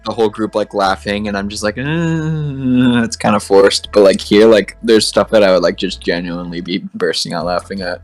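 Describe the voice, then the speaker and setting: A man's voice: fairly low pitch, normal speed, few pauses, soft background music. A man co-hosting a podcast, chatting in a casual, slangy way with a group. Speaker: podcast co-host.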